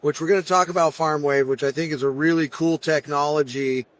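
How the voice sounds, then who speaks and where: Chatty male podcast host, slightly high. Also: steady tone, brisk. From Brazil. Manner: chatty, jokey, rambling questions. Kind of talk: casual interview at a trade show.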